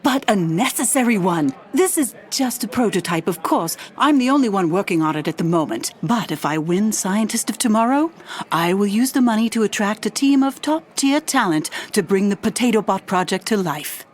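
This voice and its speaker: A woman playing a small, idealistic scientist proudly pitching an invention, earnest and enthusiastic, in an audio drama. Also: lively intonation, moderate pace, close to an everyday voice. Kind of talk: scripted audio drama acting, pitch speech.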